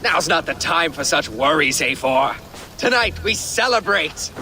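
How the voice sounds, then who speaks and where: A man's voice: on the high side, expressive, measured pace, bright, forceful tone, slight background noise, exaggerated voice. A man playing a hearty, easygoing seafaring Viking companion in a video game cutscene.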